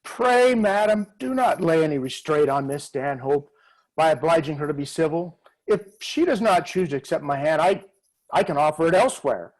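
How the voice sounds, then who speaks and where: Casting: man playing a haughty suitor, formal and slightly halting, voice a touch high. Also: expressive, low-quality recording. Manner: stiff, formal, with small stumbles. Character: stiff, pompous gentleman suitor, Mr Watts. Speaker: amateur reader in a dramatic reading. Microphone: video call.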